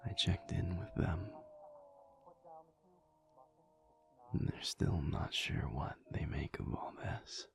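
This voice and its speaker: Low, slow, whispering alpha werewolf played by a man. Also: fairly even intonation, full character voice. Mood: uneasy, uncertain. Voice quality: hushed, breathy whisper. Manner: slow, halting, pensive. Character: weary, troubled alpha werewolf pack leader confiding in his partner. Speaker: audio roleplay performer. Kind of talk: intimate audio roleplay monologue.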